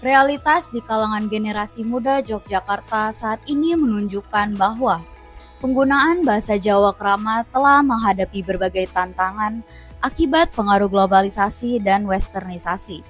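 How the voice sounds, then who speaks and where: A woman's voice: mid-range voice, moderate pace. A young woman reading scripted documentary narration in formal Indonesian phrasing. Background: faint music.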